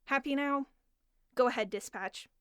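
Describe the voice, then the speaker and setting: A brisk patrol officer in an audio drama. Her voice sits a little high and is recorded close and dry, with a wry, matter-of-fact manner. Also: a bit bright, clean tone, dry recording.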